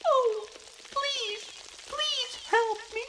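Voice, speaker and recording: A woman's voice: very high voice, very slow, background noise, exaggerated voice. A woman playing a desperate victim in a radio play, crying out in distress. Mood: desperate, pleading. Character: desperate, very high-voiced victim crying for help.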